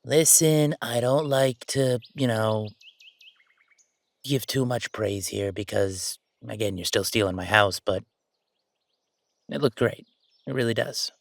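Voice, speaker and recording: A man playing a bossy, playful yet sentimental ghost roommate in a conversational roleplay audio drama, with animated, teasing banter. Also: medium pitch, slow, creaky, pauses often, dry recording, clean studio sound. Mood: sincere, softened.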